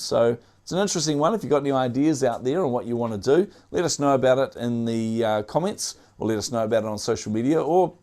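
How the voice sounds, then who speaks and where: A man's voice: medium pitch, a little mellow. A man with a New Zealand accent hosting a casual tech news show, chatty, with frequent fillers and dad jokes.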